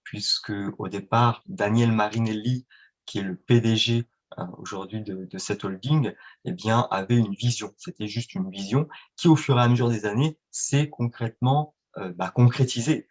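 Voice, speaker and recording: Hesitant low-voiced man, lo-fi sound. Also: moderate pace. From France and Italy. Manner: hesitant, many fillers and restarts. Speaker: guest, independent trader. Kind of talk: interview answers. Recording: dull lo-fi sound.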